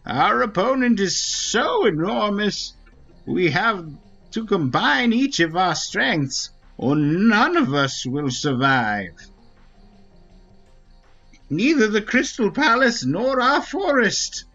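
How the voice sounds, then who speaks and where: Slow, high-voiced ancient elder played by a man. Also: very animated, a bit bright, lo-fi sound, heavily acted. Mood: grave. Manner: slow, grave, formal delivery. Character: ancient, solemn Wingly elder, wise leader of a forest people. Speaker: game streamer. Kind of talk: dramatic read-aloud of fantasy game dialogue. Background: faint game music.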